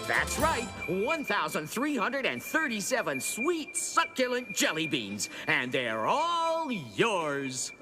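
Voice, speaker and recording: A man's voice: sits high, wildly swooping pitch, slow pace, hardly any pauses, over loud music, full character voice. A man voicing a showy department store contest announcer in a children's cartoon, theatrical and grandiose.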